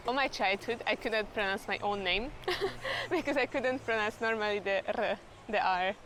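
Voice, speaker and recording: A woman's voice: medium pitch, expressive, moderate pace, a bit bright, a little hiss or hum, low-quality recording. A young woman with a Hungarian accent chatting in an interview, laughing often as she talks. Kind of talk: casual interview chat.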